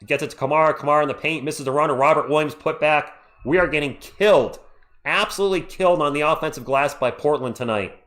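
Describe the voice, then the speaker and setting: A man hosting a live stream, calling a basketball game play-by-play as an open fan of one team and thanking viewers in the chat. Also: medium pitch. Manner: excitable and partisan, reads viewer comments. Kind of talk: fan play-by-play commentary with chat shout-outs.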